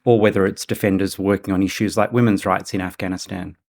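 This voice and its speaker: A man from Australia, a human rights advocate, giving formal, deliberate interview answers. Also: low-pitched, steady tone, gravelly, a little mellow.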